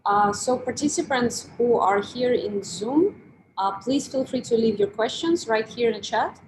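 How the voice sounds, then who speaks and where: A woman's voice: fairly high pitch, expressive, moderate pace. Woman host, online event moderator, upbeat, switches between English and Russian, video call, echoey room, faint background noise.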